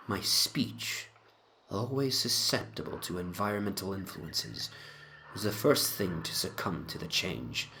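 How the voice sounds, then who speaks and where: Low-voiced male gothic horror narrator. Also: fairly bright tone, a little hiss or hum. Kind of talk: dramatic reading of gothic horror story.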